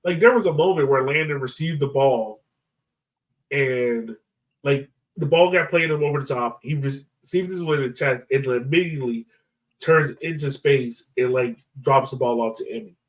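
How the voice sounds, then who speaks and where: A man with a mid-pitched voice chats casually about sports as a podcast co-host. His delivery is informal and slangy, and he sounds a little distant from the microphone. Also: normal speed.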